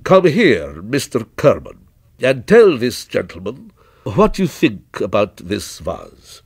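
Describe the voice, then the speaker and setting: A man voicing a shrewd, courteous male shop proprietor in a storybook, deliberate and businesslike as he presses a sale. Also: lowish voice, vocal fry, slightly rough, no echo, studio quality, exaggerated voice. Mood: businesslike persuasion. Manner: businesslike, flattering, deliberate salesmanship.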